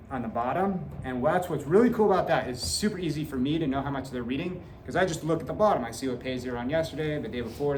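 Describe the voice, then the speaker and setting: A man's voice: mid-range voice, a little mellow, a little hiss or hum. Male teacher, 40s, earnest parent-night talk with fillers; echoey classroom, camera mic. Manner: earnest, gestures a lot, many "uh" fillers. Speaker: seventh grade teacher. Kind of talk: back-to-school talk to parents. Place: classroom in front of a whiteboard. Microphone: fixed camera at a few meters.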